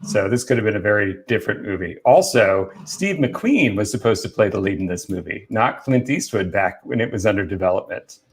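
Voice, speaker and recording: A man's voice: fairly low pitch, expressive, fairly quick, few pauses. A man hosting a casual panel chat about films, explaining trivia with enthusiasm. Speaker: film talk show host presenting movie trivia.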